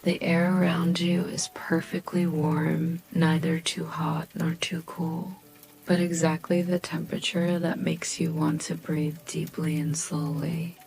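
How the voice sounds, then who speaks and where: Hushed, low-pitched woman narrating sleep meditation. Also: little pitch movement, unhurried, creaky, faint music behind, rough recording. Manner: gentle, soothing, hushed.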